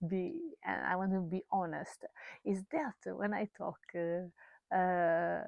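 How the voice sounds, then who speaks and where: A woman's voice: fairly low pitch, fairly even intonation, slow, creaky, darkish tone, dry recording, highs cut off. A Portuguese woman, a mother in her thirties, talking candidly in English in a personal vlog, hesitant with many fillers and restarts. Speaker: vlogger, mother.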